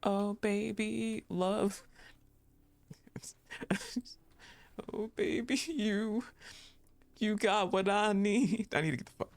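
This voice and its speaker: A woman's voice: mid-range voice, fairly even intonation, slow pace, pauses often. A woman streaming a game live, giggling often, swearing freely and gushing thanks to her chat.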